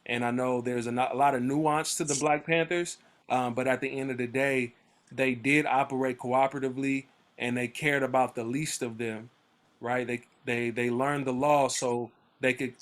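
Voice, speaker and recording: A man's voice: medium pitch, fairly even intonation, moderate pace. Chatty man leading a book club. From Oakland area, US. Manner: conversational, many fillers and restarts, reads timeline entries aloud then riffs. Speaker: book club discussion leader. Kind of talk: casual reading and commentary. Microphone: video call.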